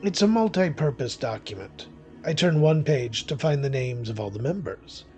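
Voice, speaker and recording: Casting: male actor as cynical, weary witch narrator for horror audio thriller; wry, theatrical, medium pitch, over a music bed. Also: animated, moderate pace, a little mellow, lightly acted. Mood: wary, resigned. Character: weary, cynical male witch hunting through a haunted house. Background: music behind the voice.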